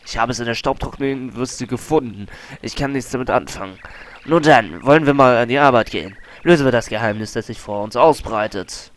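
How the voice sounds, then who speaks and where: A man's voice: medium pitch, fairly quick, natural voice. Guy doing a smug boastful explorer from a game, puffed up and drawn out. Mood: pompous. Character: pompous male explorer archaeologist, boastful. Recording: faint game music behind.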